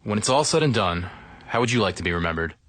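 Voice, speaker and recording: A man's voice: on the low side, lively intonation, fast, fairly bright tone, no room sound. A man reading listener questions aloud as a guest reader. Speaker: business development staffer, guest reader of questions.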